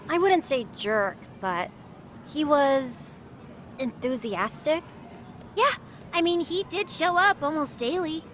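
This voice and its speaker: Game bit part: young basketball club student, high voice, slow, halting delivery, music behind. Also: female voice, lively intonation, fairly bright tone, slight background noise, full character voice. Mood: hesitant, guarded. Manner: hesitant, halting. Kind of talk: English game voice acting.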